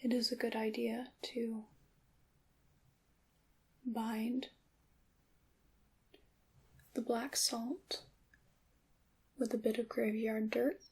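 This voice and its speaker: A woman playing a gentle, knowing witch in an ASMR roleplay, speaking in a breathy half-whisper with deliberate, slightly formal phrasing. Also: on the high side, little pitch movement, full character voice. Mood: hushed, instructive. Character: gentle, knowing witch teaching her craft. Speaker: ASMR performer.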